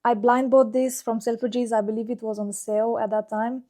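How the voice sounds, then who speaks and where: Mellow-voiced woman chatting about her life. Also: fairly high pitch, flat delivery, normal speed. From Romania. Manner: chatty and fond, with frequent "like" fillers. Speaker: fragrance YouTuber. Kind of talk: casual personal vlog talk.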